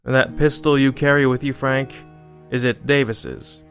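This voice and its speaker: An actor playing a calm, considerate male travelling companion in scripted audio drama dialogue. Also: medium pitch, a little slow, faint music behind. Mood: calm, probing.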